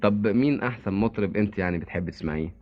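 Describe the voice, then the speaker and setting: A man with an Egyptian Arabic accent, a radio interviewer asking brisk, amused questions. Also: on the low side. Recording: dull lo-fi archival radio recording.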